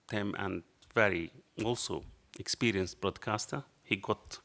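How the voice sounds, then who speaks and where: A man's voice: fairly low pitch, measured pace, gravelly, sharp tone. Man with a strong Arabic accent. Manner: storytelling, acts out both sides of dialogue; passionate, non-native phrasing. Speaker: panel speaker. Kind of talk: anecdotal public talk. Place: dark lecture hall panel table. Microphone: table microphone.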